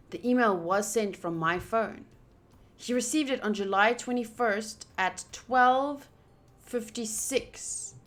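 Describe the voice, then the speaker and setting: A woman streaming a visual novel, reading it aloud deliberately with pauses and chatty asides. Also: a little high, fairly bright tone. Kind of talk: playthrough narration with chatty asides.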